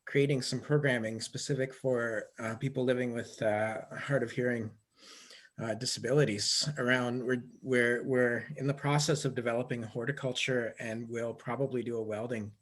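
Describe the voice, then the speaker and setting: A man's voice: medium pitch, steady tone, moderate pace. A man on the school's side of a video call meeting, adding points with hesitant fillers. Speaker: school program staff.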